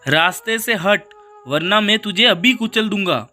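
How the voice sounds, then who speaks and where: A man's voice: sits high, unhurried, bright, forceful tone, pitched above the performer's own voice, full character voice. A man voicing a big, short-tempered elephant in a cartoon animal story, domineering and taunting. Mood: angry threatening.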